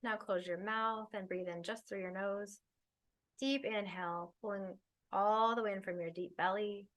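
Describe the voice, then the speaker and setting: A woman leading a guided meditation, speaking soothingly in unhurried phrases with long pauses. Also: medium pitch, fairly even intonation, fairly bright tone. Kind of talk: guided meditation, spoken slowly to listeners.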